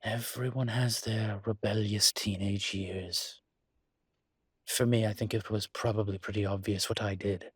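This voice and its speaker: A man's voice: on the low side, steady tone, measured pace, husky, no room sound. A man playing a refined incubus demon professor in an intimate audio roleplay, explaining things in a hesitant, genteel and slightly irritated but patient manner. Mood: exasperated, explaining.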